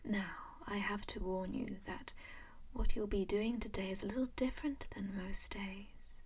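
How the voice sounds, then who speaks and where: A woman voicing a composed, doting female vampire noble in a whispered roleplay, gently coaxing and possessive. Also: medium pitch, fairly even intonation, a little slow, husky. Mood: soothing whisper. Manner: soft whisper throughout; gently possessive and coaxing, calls listener darling and dear.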